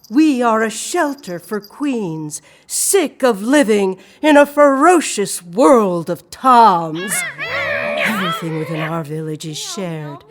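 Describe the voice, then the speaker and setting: A woman playing a battle-scarred, protective cat who leads her village, speaking in a grand, measured, declamatory way in an audio drama. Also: medium pitch.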